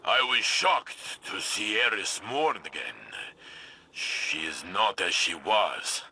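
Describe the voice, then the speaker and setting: An actor playing a proud, teasing, blunt old-soldier warrior in a video game cutscene, with an emphatic, halting delivery. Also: male voice, on the low side, sing-song, bright, forceful tone, breathy edge, exaggerated voice. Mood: curious. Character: gruff, teasing, proud veteran male warrior, jovially blunt.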